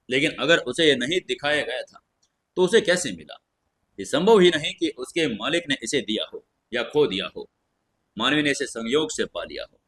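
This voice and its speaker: A man narrating a scripted audio story in a dramatic, expressive, suspenseful way. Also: fairly high pitch, breathy edge.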